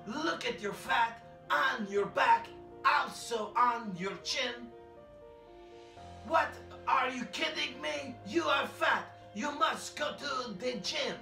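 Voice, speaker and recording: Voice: high voice, slow pace, gravelly, pushed and bright, full character voice. A performer voicing a boastful, nervous male immigrant poet who talks in broken English and preaches in blunt, repetitive lines, in a prerecorded comedy bit. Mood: scolding. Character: boastful male immigrant poet named Sergio, anxious about deportation. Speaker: comedy character reciting poems. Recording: echoey, distant, music underneath.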